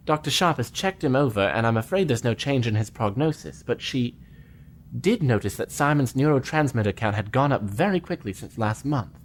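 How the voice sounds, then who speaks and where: A polite starship lieutenant with a lively, animated voice, played by a man. He speaks earnestly and measuredly in a fan audio drama, with faint noise underneath. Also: mid-range voice, moderate pace.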